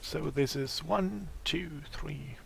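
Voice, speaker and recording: Slow, raspy man narrating his game. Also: fairly high pitch, background noise. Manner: slow, thinking aloud while playing. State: voice strained from a long day of talking. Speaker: gameplay commentator. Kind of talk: casual gameplay commentary.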